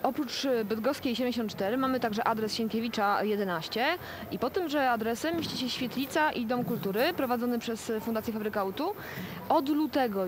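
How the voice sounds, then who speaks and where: A woman in her thirties from a community foundation, giving earnest, emphatic interview answers on a lapel mic in a shopping mall. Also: on the high side. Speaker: foundation representative. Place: shopping mall seating area, indoors. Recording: mall noise behind.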